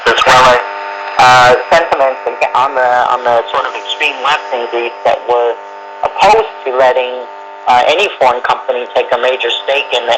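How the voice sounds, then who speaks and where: A man giving measured, explanatory analysis as a guest commentator in a radio panel discussion. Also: mid-range voice. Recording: noisy shortwave radio with mains hum. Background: clear static noise and hum.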